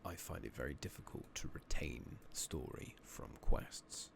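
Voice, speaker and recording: Soft-spoken British male game streamer, slow and deep, ASMR half-whisper, unhurried casual asides, close mic. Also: vocal fry. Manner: casual, unhurried, muttering to himself, mild swearing. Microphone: close microphone. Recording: noisy mic with keyboard clicks.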